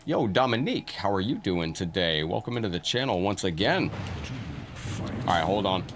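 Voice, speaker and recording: A man's voice: low-pitched, very animated, moderate pace. Man with a scratchy, fading cold. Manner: chatty, shouts out viewers by name. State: getting over a cold, a little scratchy. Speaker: live-stream gaming host. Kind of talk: casual gameplay commentary, greeting chat. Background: game music.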